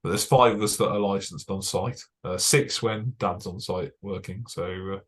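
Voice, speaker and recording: A man's voice: sits low, steady tone, normal speed, husky. A young British man, a broadcast engineer, giving a chatty technical talk over slides, with many fillers and dry asides. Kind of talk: casual presentation over slides.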